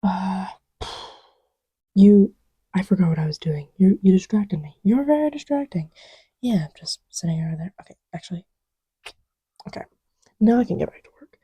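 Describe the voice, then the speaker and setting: A woman playing an affectionate, scatterbrained girlfriend in a casual roleplay audio, soft-spoken and often whispery, rambling playfully and self-deprecatingly. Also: mid-range voice, animated, moderate pace, long pauses, slightly rough, no echo. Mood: teasing, affectionate. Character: affectionate, scatterbrained girlfriend testing markers on her boyfriend's lap. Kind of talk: casual, improvised-sounding girlfriend roleplay audio with ASMR feel.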